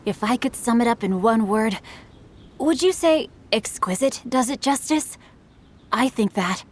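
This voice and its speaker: Lady doing a posh bookish teen boy for a game, slightly high, flowery talk, faint noise. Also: a little slow, close to an everyday voice. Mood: composed, playful. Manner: flowery, composed, articulate. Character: refined, bookish, theatrical teenage boy swordsman. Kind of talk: video game dialogue acting.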